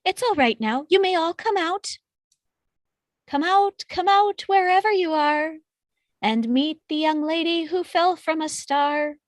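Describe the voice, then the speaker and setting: A woman playing a gentle, regal good witch in a read-aloud musical film script, with measured storybook sweetness. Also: extremely high pitch, no room sound, clean studio sound. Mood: gentle, coaxing. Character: gentle, regal good witch coaxing timid little folk.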